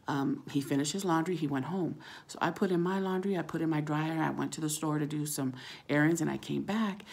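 Husky, low-voiced middle-aged woman. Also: darkish tone. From Samoan, living in California. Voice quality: husky, gravelly. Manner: chatty, acts out quoted dialogue. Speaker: vlogger. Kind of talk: casual storytelling to camera. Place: small room at home, indoors. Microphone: phone at arm's length.

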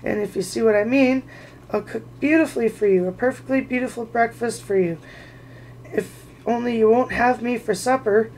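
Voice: mid-range voice, normal speed, darkish tone, a little hiss or hum, voice pushed up, close to an everyday voice, rough recording. A reader doing a frightened pleading hobbit. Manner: gasping, stammering pleas.

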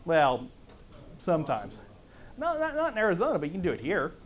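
A middle-aged man with a conversational, lively manner, lecturing to a conference audience in a meeting room. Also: sits high, fairly quick. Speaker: conference speaker. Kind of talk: lecture on economics.

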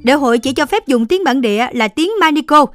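A woman's voice: high voice, lively intonation, quick pace, no room sound, studio quality. A woman playing a polite, chirpy young news reporter, reading scripted lines in a cartoon. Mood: polite, upbeat. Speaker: announcer and interviewer. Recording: loud children's music behind.

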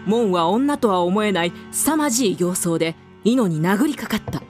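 A woman narrates a radio drama in a scripted storytelling style. Her voice sits at a medium pitch, and music is present. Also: lively intonation, moderate pace, a little mellow.

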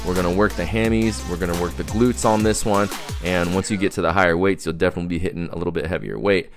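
A man's voice: deep voice, steady tone, quick pace, music in the background. A man in his thirties giving casual workout instruction in an upbeat coaching tone, from a living room and then a gym. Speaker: fitness vlogger.

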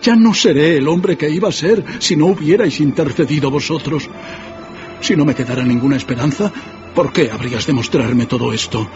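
An actor playing a repentant old miser, fervent and exclamatory, in a theatrical radio drama. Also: male voice, fairly high pitch, moderate pace, lightly acted. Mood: desperate pleading. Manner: exclamatory, emotional, repeats words. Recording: dull band-limited audio, music underneath.

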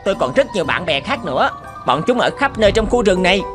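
A woman's voice: medium pitch, very animated, fast talker, music in the background, natural voice. A woman voicing a cunning, fawning wild turkey in a cartoon, pleading and persuading. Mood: coaxing, persuasive. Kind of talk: cartoon character acting.

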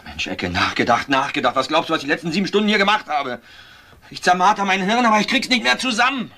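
An actor playing an anxious, confused fugitive brother in a German crime radio drama. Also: male voice, high voice, big pitch swings, fast, bright, forceful tone, exaggerated voice. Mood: desperate.

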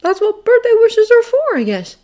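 Woman as a high, gushing young boy. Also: wildly swooping pitch, moderate pace, mellow, heavily acted. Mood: sincere, wistful. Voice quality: small youthful voice. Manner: gushing, sincere. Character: eager young boy gushing proudly about foster dad.

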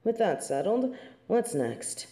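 A woman's voice: mid-range voice, big pitch swings, takes it slowly, warm tone, echoey room, faint music behind. A woman playing a snobbish, scornful angel who judges human souls in formal phrasing, in a scripted audio drama monologue. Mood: cold contempt. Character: haughty, disdainful female angel judging human souls.

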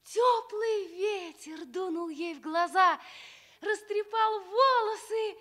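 A woman narrating a children's tale for radio with deliberate, expressive phrasing. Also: extremely high pitch. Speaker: storyteller.

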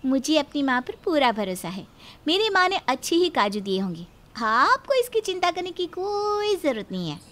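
A woman's voice: sits high, very animated, normal speed, fairly bright tone. A woman voicing a quarrelsome, possessive young married woman in a cartoon family drama, scolding emphatically. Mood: smug, defiant.

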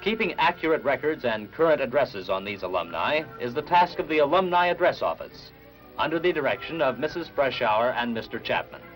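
A man reading scripted 1960s newsreel narration in a measured, formal announcer style. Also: mid-range voice, animated, rough recording. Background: background music.

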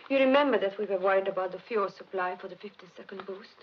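An actress playing a devoted, earnest female scientist in a 1950s film drama, speaking emphatically with dramatic pauses. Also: mid-range voice, a little hiss or hum, close to an everyday voice. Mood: urgent, reasoning. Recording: muffled 1950s film soundtrack, faint music.

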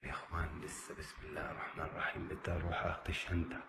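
A man filming himself in a dark house, nervously muttering prayers under his breath. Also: rumbling low, completely flat delivery, very fast, very noisy. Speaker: ghost hunter in clip. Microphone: phone camera. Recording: roomy phone video with faint ambience.

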